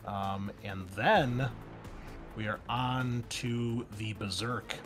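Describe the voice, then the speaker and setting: Male game master, middle-pitched, loose conversational narration and rulings, light music bed. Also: fairly even intonation, drawn-out words. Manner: conversational, improvising rulings aloud. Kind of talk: narrating a tabletop roleplaying game.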